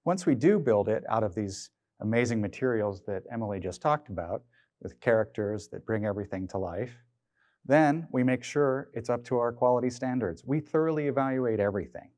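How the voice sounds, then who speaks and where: A man's voice: medium pitch, expressive, a little fast, a little mellow, dry recording. A man in his fifties giving a prepared technical presentation as a research scientist. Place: indoor studio stage. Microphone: clip-on lapel mic.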